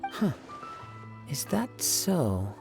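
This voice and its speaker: A man playing a calm, polite young wandering samurai in a video game, speaking in a measured, formal way. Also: fairly high pitch, full character voice. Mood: grave. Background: background music.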